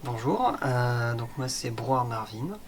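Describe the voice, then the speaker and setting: A man's voice: medium pitch, lively intonation, slow pace. 18yo guy, earnest, lots of euh, faint noise. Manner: earnest, hesitant. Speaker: student ambassador. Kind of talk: casual testimonial.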